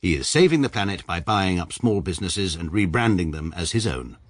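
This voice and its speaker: A dry, pompous barrister. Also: male voice, sits low, very animated, no room sound. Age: middle-aged. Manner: dry, measured, sarcastic. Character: short, pompous, detached middle-aged male barrister. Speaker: barrister narrating his own story. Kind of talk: radio comedy drama narration.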